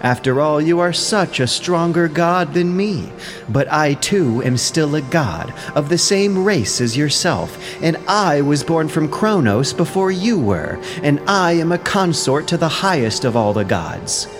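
A man's voice: a little high, very animated, measured pace, pitched above the performer's own voice. A man voicing a haughty, aggrieved goddess queen with pointed, theatrical indignation in an audio drama of ancient epic. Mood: bitter, conceding. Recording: music behind the voice.

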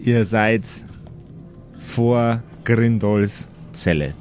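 A man narrating an improvised tabletop role-playing game as game master, in a deliberate, descriptive manner. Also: on the low side, a little mellow, faint music behind.

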